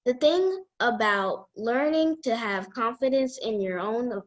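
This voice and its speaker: A woman guest reader reading a children's book aloud in a deliberate way. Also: on the high side, animated, dull audio.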